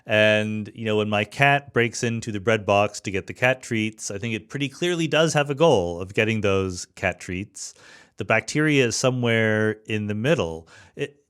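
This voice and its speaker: Low-pitched male podcast host, informal. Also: moderate pace, fairly bright tone, clean tone. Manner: informal, wry. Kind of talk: conversational interview, musing questions.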